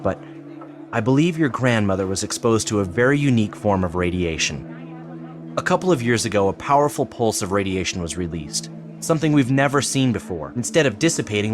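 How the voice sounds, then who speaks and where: An actor playing an earnest, persuasive psychiatrist in an audio drama, explaining in a measured, solemn way. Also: male voice, lowish voice, lightly acted. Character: earnest, persuasive male psychiatrist pressing his case. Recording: clearly noisy background ambience.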